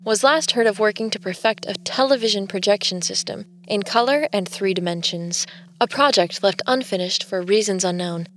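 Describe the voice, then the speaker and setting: A woman's voice: mid-range voice, normal speed, fairly bright tone, close to an everyday voice. An actress playing a determined, haunted woman artist in an audio drama, speaking earnestly and confidingly. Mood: haunted, reflective. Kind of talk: audio drama acting with first-person narration.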